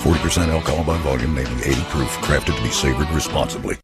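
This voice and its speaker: A man's voice: extremely low pitch, fast. A man reading scripted narration for a radio advertisement. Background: plucked guitar music.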